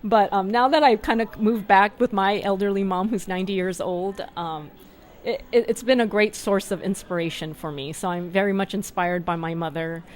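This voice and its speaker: A chatty woman from California, interviewed at an art fair booth. Her voice sits at a middling pitch, and she talks in a loose, casual way, full of "um" and "like" and quick laughs. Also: steady tone, a little hiss or hum. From California, USA. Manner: chatty, laughs often, uses fillers like "um" and "like". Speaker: artist, guest.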